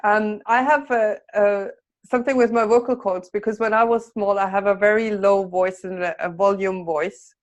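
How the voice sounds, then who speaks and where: A woman taking part in a voice workshop, speaking hesitantly with many pauses and fillers. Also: mid-range voice, steady tone. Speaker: workshop participant.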